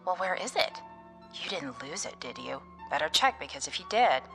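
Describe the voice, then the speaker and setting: An actress playing an excitable, looks-obsessed teenage girl with breathless, gushy chatter in a radio drama. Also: sits low, normal speed, creaky, soft background music, exaggerated voice. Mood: eager, prying.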